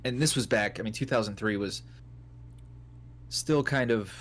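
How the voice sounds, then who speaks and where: A man's voice: on the low side, moderate pace. A man, co-hosting a casual gaming podcast and joking around as he reads list entries. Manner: relaxed, joking, reads in a mock newscaster voice. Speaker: podcast co-host. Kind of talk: casual gaming chat, reading list items aloud.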